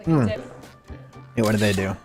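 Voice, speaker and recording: Picture a man streamer riffing on a video he's watching. He talks casually to his chat, his pitch swinging up and down a lot. The video's music plays clearly underneath him. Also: animated, slow. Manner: chatty, laughing, slangy asides to chat. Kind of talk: casual live-stream commentary. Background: show audio and music playing.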